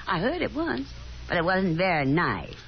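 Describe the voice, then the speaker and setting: A woman's voice: fairly low pitch, very animated, normal speed. An actress playing a fussy, chatty Southern mother in an effusive, genteel, nagging manner, in a 1950s radio drama. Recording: muffled vintage 1950s radio broadcast.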